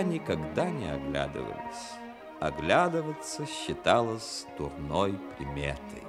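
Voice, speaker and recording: A man narrating a 1960s radio drama as a measured literary storyteller. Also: lowish voice.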